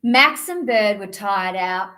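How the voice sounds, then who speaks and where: A woman presenting children's storytime, reading picture books aloud with expressive, deliberate delivery aimed at young children. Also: medium pitch.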